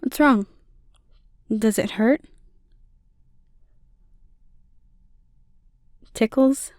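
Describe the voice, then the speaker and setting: A gentle slime monster girl, played by a woman for an ASMR roleplay, speaking softly and intimately. Her voice is fairly high but dark and mellow. It is recorded very dry and close, with a caring, lightly teasing manner. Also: takes it slowly, dry recording. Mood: soothing concern. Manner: soft, soothing, intimate delivery.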